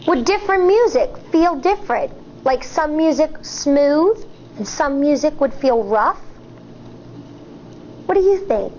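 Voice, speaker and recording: An American woman in her thirties, a teacher reading a picture book aloud to children in an expressive, deliberate way. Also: high-pitched, highs cut off, a little hiss or hum. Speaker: elementary school teacher.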